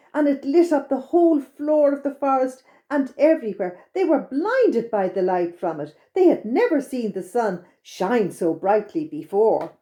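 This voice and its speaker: A woman's voice: sits high, warm tone. A woman with an Irish accent narrating a children's storytime expressively, exclaiming and repeating things for young listeners.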